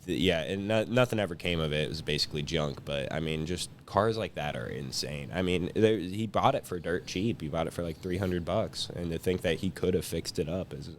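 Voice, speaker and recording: A man's voice: deep voice, brisk, few pauses, slight background noise. A young man in his late teens chatting casually as an enthusiastic guest. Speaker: guest, high school senior. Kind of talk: casual chat.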